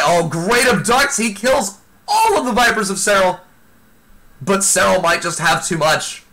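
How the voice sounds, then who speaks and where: Excitable man with a tired voice calling a match. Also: a little high. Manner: excitable, exclaims often, talks fast in bursts. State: losing his voice. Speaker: esports commentator. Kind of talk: excited live play-by-play commentary.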